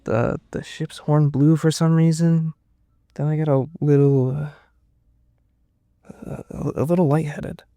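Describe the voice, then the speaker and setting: A man's voice: on the high side, fairly even intonation, takes it slowly, long pauses, dark tone, dry recording, studio quality. A man playing a confused, shaken survivor under questioning in a naturalistic audio drama, hesitant and stammering as he speaks. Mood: uneasy, recalling.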